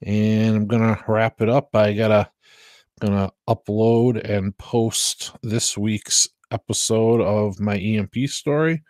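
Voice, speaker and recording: A man's voice: on the low side, steady tone, slow, a little mellow, no room sound, studio quality. An American man hosting a livestream, chatting casually with listeners in a hesitant, informal, rambling way. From United States. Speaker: livestream host.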